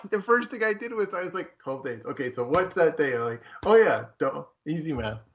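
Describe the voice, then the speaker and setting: A man's voice: on the high side, animated, fairly quick. Laid-back male co-host, slightly dull audio. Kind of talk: casual chat.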